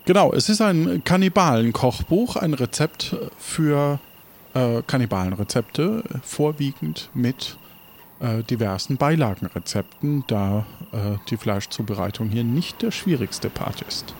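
A man's voice: mid-range voice, animated, a little slow, a little mellow, slight background noise. A man narrating an improvised tabletop game as game master.

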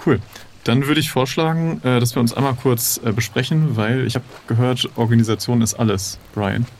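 A man's voice: mid-range voice, steady tone, normal speed, darkish tone, slight background noise. A man playing an improvised adventure game, speaking casually, politely and with hesitation. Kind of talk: casual improvised role-play.

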